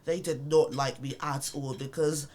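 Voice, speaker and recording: A woman's voice: low voice, normal speed. Young woman with a London accent. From born in Botswana, raised in London. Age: young adult. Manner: animated storytelling, many fillers and restarts. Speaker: guest. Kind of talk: casual podcast chat.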